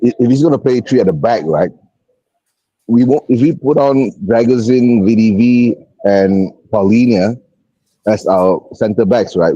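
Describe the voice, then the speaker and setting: Low, husky man who keeps asking right. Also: mellow, dry recording, dull audio. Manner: hesitant, stammers, keeps adding right?. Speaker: panel guest. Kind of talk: casual football chat.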